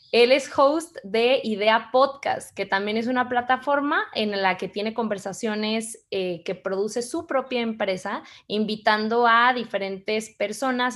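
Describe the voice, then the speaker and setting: A woman's voice: fairly high pitch, fairly bright tone. A woman co-hosting a podcast, reading a guest's introduction and then asking him questions in an upbeat, chatty way over a video call. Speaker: podcast co-host.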